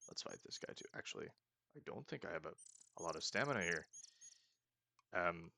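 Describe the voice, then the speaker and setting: A man's voice: low voice, lively intonation, brisk, creaky, bright, forceful tone, slight background noise. A young man casually commenting on a roleplaying game as he plays, thinking aloud and joking at his own mistakes. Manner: thinking aloud, chatty and self-mocking. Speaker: let's-play host.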